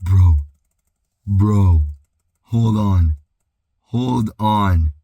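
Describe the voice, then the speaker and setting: A man doing excited reaction commentary, clearing his throat as he recovers from being sick and saying dude and bro a lot. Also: lowish voice, extremely slow, dry recording, lo-fi sound. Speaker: music reaction YouTuber.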